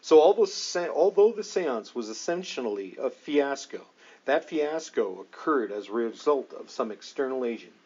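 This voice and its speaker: A man voicing a grave, emphatic male ghost investigator recounting an ordeal in an audiobook, serious and halting. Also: mid-range voice, lively intonation, mellow, dull audio, voice pushed down, lightly acted. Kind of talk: audiobook dialogue reading.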